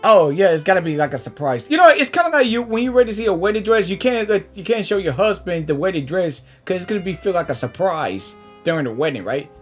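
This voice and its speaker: Animated woman reacting to a game. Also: on the low side, fast, few pauses. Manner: rambling, repetitive, exclamatory. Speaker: reaction video host. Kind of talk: casual gameplay reaction commentary. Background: game music.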